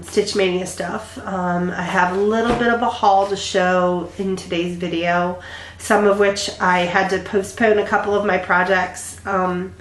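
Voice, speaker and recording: A woman in her thirties, stuffy and congested, chatting casually to her viewers with lots of ums and restarts. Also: fairly low pitch. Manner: rambling, many ums and restarts. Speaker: flosstube vlogger. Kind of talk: casual chat to camera. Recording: echoey room.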